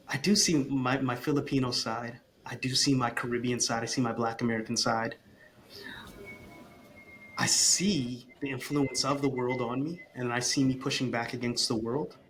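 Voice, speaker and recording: Man, mid-pitched, earnest, repeats himself while thinking, faint hiss behind. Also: a bit bright. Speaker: guest, communication coach for parents. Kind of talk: casual podcast conversation.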